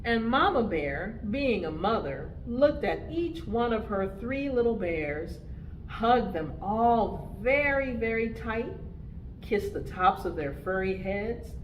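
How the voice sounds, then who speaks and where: Expressive woman reading for preschoolers, unhurried. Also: fairly high pitch, slight background noise, poor audio. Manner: simple, expressive phrasing aimed at young children, with questions. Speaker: children's librarian and storytime host. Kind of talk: preschool storytime reading and narration.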